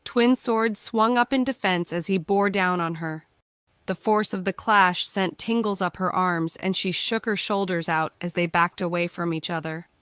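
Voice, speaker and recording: A woman's voice: fairly low pitch, steady tone, moderate pace, fairly bright tone, smooth, no room sound, studio quality. A woman reading scripted fiction narration for an audiobook.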